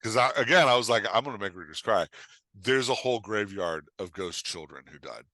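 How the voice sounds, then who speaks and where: Bright, emphatic man talking shop. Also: fairly low pitch, animated, a little fast, no room sound. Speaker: author and writing coach, podcast guest. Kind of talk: animated conversational explanation and storytelling.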